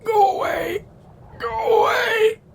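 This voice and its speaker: A creaky, anguished old man. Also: extremely high pitch, slight background noise, full character voice. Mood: anguished rage. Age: 80s. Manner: halting, gasping, breaking into cries and shouts. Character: anguished, lonely eighty-year-old man, bitter and raging. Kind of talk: old-time radio drama acting.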